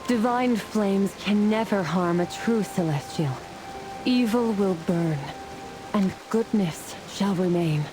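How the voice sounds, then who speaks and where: A woman's voice: mid-range voice, slow, lightly acted, rough recording. A woman playing a resolute celestial fire warrior in a fantasy game, speaking in a grave, formal, declamatory way. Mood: solemn, resolute. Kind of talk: scripted fantasy game voice acting. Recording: music and rain behind the voice. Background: music, rain.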